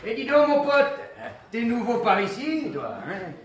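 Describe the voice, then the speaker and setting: High-pitched brash bistro sponger. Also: male voice, big pitch swings, a little slow, echoey room, heavily acted. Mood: wheedling. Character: brash, wheedling bistro sponger, over-familiar. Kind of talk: French radio drama acting.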